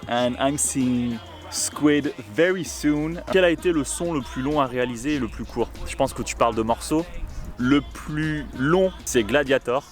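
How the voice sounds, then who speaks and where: A young man who grew up in Ireland, casually reading out fan questions and answering them in a candid, laid-back way for his vlog. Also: medium pitch.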